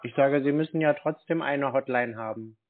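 A man's voice: mid-range voice, moderate pace, slight background noise. Laconic man on a thin phone line. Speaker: caller being pitched, scam-baiter. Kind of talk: casual phone conversation. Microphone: telephone. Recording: muffled narrow phone line.

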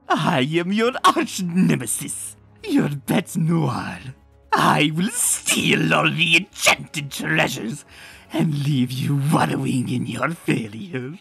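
A woman reading a comic aloud, voicing a gloating male creature villain in a pompous, theatrical, drawn-out way. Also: low voice, creaky, fairly bright tone, breathy edge, exaggerated voice.

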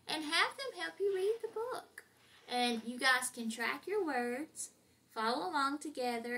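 A woman's voice: sits high, a bit bright. A middle-aged woman coaching a child through a read-aloud in a casual, encouraging way, prompting word by word. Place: living room, indoors.

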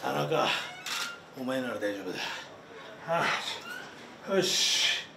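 A middle-aged pro wrestler, out of breath and panting between gruff, fired-up phrases in post-match comments. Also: male voice, medium pitch, expressive, slow pace. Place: backstage press area in front of a sponsor backdrop. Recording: echoey room, loud noise, far from mic.

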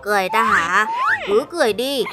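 A woman's voice: medium pitch, normal speed, a crowd in the background, close to an everyday voice. A woman voicing a sullen, taunting, spiteful mouse villain in a children's cartoon. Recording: music behind the voice.

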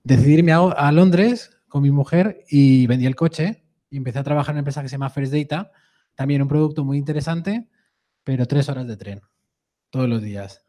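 Madrid-accented man giving a mellow talk. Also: medium pitch, fairly quick. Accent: Madrid Spanish. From Madrid, Spain. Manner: conversational, often adds a questioning "¿no?". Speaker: conference speaker. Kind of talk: live presentation talk.

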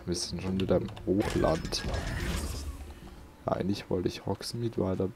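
A man hosts a let's play in a low, gravelly voice. He comments casually and mutters to himself as he goes, with game music clearly behind him. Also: steady tone, normal speed.

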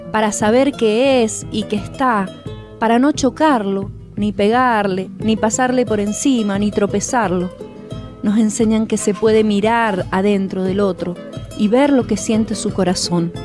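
A woman reading a scripted tribute narration in a soft, measured, poetic way. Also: mid-range voice, faint music behind.

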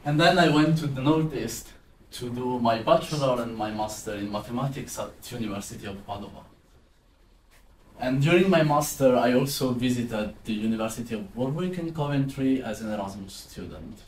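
A man's voice: mid-range voice, lively intonation, normal speed. Young Italian man teaching in an echoey room. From southern Italy. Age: 20s. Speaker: maths teacher. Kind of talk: explanatory classroom presentation. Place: indoor classroom with whiteboard and screen. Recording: roomy echo, distant, some background noise.

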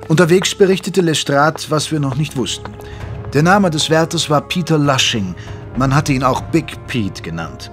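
An actor playing a wry gentleman doctor who narrates a German detective audio drama in a measured, literary manner. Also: fairly high pitch, animated, faint music behind. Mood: measured narration. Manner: measured, literary, dryly amused.

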